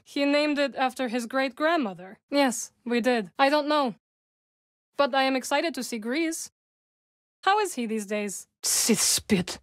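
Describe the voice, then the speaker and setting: A woman voicing a calm, dry-witted young witch companion in a video game, speaking in measured, formal lines recorded close on a studio mic. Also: high-pitched, no echo.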